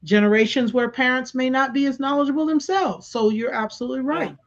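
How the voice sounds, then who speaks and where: A woman's voice: high-pitched, a little fast. Earnest woman educator on dull audio. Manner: earnest and reflective, with many restarts. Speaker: educator and author guest. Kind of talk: casual conversational interview.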